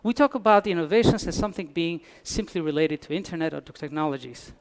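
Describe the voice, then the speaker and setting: A man's voice: a little high, fast. A man from Albania, giving a conference talk with dry humor and frequent restarts. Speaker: conference speaker. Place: conference hall.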